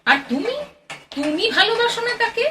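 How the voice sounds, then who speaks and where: Radio play mother: actress as older woman, very high-pitched, plain-spoken, coaxing and fond, heard off-mic. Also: lively intonation, moderate pace. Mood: tender, coaxing. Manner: motherly scolding and coaxing in short colloquial bursts. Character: affectionate, teasing, plain-spoken older mother of a grown son. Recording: distant mic, very noisy audio.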